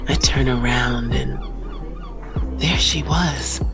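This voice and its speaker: Reflective teen lead, audio drama monologue, very slow and deliberate, music underneath. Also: female voice, extremely low pitch. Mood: wistful, intrigued. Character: reflective teenage protagonist narrating their own story.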